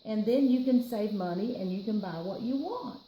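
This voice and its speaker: A woman with a Southern US accent reading a picture book aloud to children, with playful, expressive, chatty asides. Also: mid-range voice, moderate pace, reverberant, highs cut off, slight background noise. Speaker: children's storytime reader.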